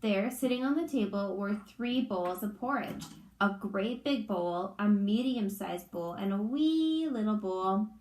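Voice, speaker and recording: A woman's voice: medium pitch, animated, a little slow, a little mellow, reverberant, a little hiss or hum, low-quality recording. A woman reading a children's storybook aloud like a teacher, expressively and in simple phrasing for young listeners.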